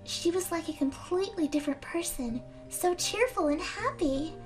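A young woman voicing a gentle, frail, wistful teenage girl in a video game fan dub, speaking softly and earnestly. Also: very high voice, moderate pace, low-quality recording. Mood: reminiscing. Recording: amateur fan dub over game music. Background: game music.

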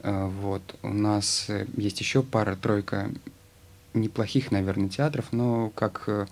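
Low mellow-voiced man, hesitant. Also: steady tone, unhurried. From Russia. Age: 30s. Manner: rambling, many hesitations. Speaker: guest actor. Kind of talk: studio interview. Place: studio with logo backdrop. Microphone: studio mic on stand.

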